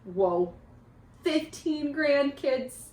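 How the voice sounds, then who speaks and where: A woman reading a picture book aloud like a teacher, expressive and encouraging, putting questions to her young listeners. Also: high voice, very slow. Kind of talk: animated children's read-aloud with questions to listeners. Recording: echoey, roomy sound.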